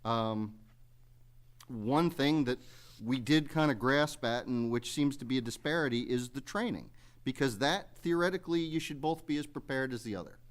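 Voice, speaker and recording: A man speaking off the cuff as he chairs a public township meeting, measured and conciliatory, with folksy phrasing. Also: mid-range voice. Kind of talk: unscripted remarks at a public meeting.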